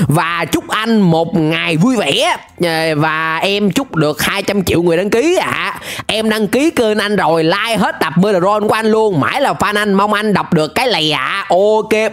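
Animated, high-pitched young southern Vietnamese man. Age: young adult. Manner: playful, exclamatory, chatty. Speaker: reaction video host. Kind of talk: animated, casual reaction commentary. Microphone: close desk microphone with headset.

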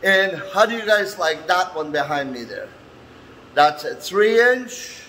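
Slow-talking folksy man in an echoey room. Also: sits high, lively intonation, bright, forceful tone. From Canada. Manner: halting, folksy, non-standard phrasing. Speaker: vlogger and handyman host. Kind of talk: casual walk-through chat to camera. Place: indoors in a man cave workshop. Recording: echoey room with light background noise.

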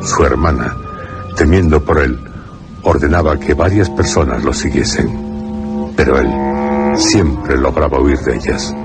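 A man narrating a radio horror drama from a script, with grave, deliberate phrasing and dramatic pauses. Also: very deep voice, over loud music.

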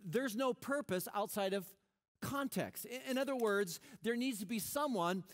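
A middle-aged man preaching a sermon on a church stage, animated and conversational, often restarting his sentences. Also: sits high, moderate pace. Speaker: pastor.

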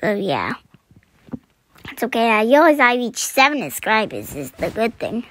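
A young woman chatting through a survival game, her voice scratchy this morning, clearing her throat and often dropping to a whisper. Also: on the high side, big pitch swings, normal speed. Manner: chatty, self-deprecating, often drops to a whisper. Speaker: gaming channel host. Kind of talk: casual gameplay commentary.

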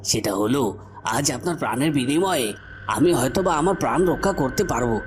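A man's voice: medium pitch, expressive, quick pace, music in the background, natural voice. A man voicing a cornered, treacherous villain in a cartoon, melodramatic, swinging between menace and pleading. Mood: threatening. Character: cornered treacherous male villain, threatening then begging for life.

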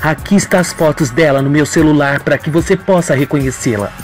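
A man's voice: on the high side, a little fast, soft background music, lightly acted. A man voicing a scheming young woman who plays innocent, coy and slangy, in an audio soap opera. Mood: cold, commanding.

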